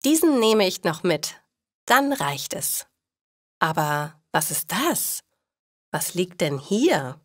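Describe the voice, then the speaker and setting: A woman's voice: on the low side, sing-song, slow, no echo, clean studio sound, heavily acted. A woman voicing a cheerful, honest woman in a storybook, musing to herself with careful enunciation.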